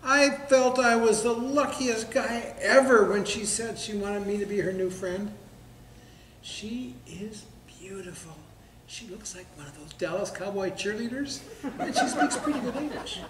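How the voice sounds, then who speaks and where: A man's voice: high voice, a little slow, fairly bright tone. A man playing a gullible, lovestruck, stubborn bar regular in a stage comedy, talking in a folksy, earnest, defensive way. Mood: smitten, proud. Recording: echoey room with background noise.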